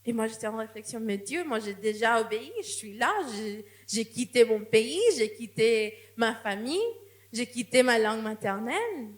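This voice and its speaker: A woman preaches in a church, and her voice sits a little high. She speaks in an earnest, personal tone and often restarts a phrase before going on. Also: normal speed. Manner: earnest, testimonial, with frequent restarts. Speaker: guest preacher. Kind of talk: sermon.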